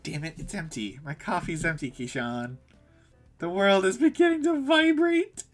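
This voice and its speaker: A spunky, manic young tinkerer girl, played by a man. She is an excitable visual novel character who gets shouty and swings up in pitch, over faint music. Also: normal speed. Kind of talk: visual novel dialogue read aloud.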